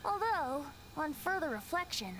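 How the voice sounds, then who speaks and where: A woman's voice: high voice, big pitch swings, unhurried, poor audio. A woman voicing a whimsical young bard in a video game, lilting, theatrical and reflective. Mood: playful, musing. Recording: game music behind the voice.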